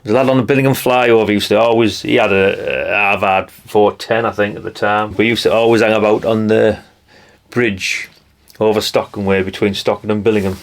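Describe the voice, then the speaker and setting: Low-voiced, rambling North East English man. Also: steady tone, fairly quick. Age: middle-aged. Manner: rambling, nostalgic, down-to-earth. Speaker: hobbyist video presenter. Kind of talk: casual chatty show-and-tell commentary.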